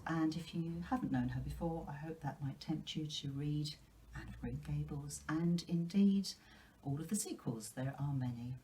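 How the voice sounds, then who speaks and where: A woman reading a children's classic aloud as a storyteller, with careful, expressive delivery. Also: low-pitched. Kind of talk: storytelling, scripted narration from a novel.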